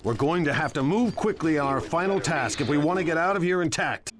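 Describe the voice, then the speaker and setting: An actor playing a bold, scheming criminal leader in a video game, commanding and self-assured. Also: male voice, a little high, animated, a little fast, a crowd in the background, natural voice. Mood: urgent.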